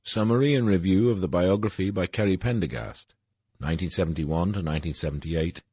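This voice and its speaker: An older man from Wales, reading his own autobiographical essay in a measured, formal way, his voice a little husky and his phrases falling at their ends. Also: sits low, darkish tone, no echo. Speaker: essay narrator.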